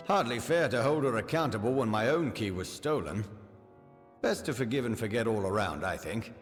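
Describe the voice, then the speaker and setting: Man playing a blustery commander with swinging pitch. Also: animated, moderate pace, lightly acted. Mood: gruffly reflective. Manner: authoritative, blustering. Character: commanding male fantasy army officer, proud, blustery. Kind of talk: video game character acting. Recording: long reverb, music behind.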